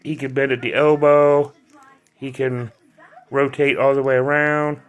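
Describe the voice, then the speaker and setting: A man's voice: medium pitch, flat delivery, measured pace, muffled recording. A man giving a loose, chatty video review of an action figure, with frequent restarts and fillers. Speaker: toy reviewer.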